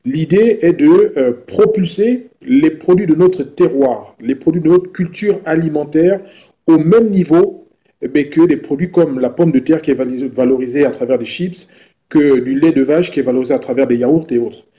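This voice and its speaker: A man in his thirties from Gabon, speaking as an interview guest in a measured way with frequent hesitations. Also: on the high side, mellow, lo-fi sound. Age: 30s. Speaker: entrepreneur guest. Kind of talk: interview answers.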